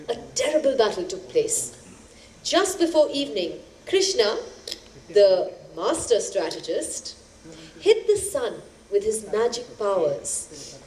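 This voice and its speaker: An actress playing a spirited storyteller who narrates epic tales in a dramatic, expressive theatrical style. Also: female voice, medium pitch. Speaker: stage actor in a two-hander play. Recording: echoey stage, faint background noise.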